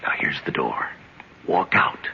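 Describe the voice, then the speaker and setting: An actor playing a smooth, menacing crook posing as a prison guard, giving cool orders in a radio drama. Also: male voice, unhurried, whispered, lightly acted. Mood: commanding. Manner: cool, taunting, commanding. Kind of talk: 1970s radio drama acting. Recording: dull old radio broadcast with hiss.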